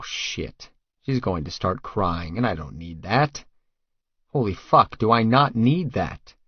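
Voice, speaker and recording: A man's voice: on the low side, dry recording, highs cut off. A man narrating first-person fiction as a tough, crude, brooding hitman, terse and guarded. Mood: gruff, frustrated. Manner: terse, hard-boiled, profane. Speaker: audiobook narrator.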